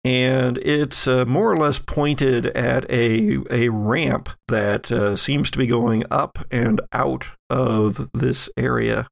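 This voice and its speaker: An American man acts as game master, narrating scenes off the cuff. His mid-pitched voice has a gravelly creak, and he feels his way haltingly through each description. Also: steady tone. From Texas, USA. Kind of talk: improvised tabletop game narration.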